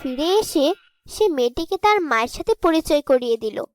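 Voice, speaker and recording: High-voiced woman telling a fairy tale. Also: animated, moderate pace, no room sound. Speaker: storyteller. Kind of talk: scripted fairy-tale narration.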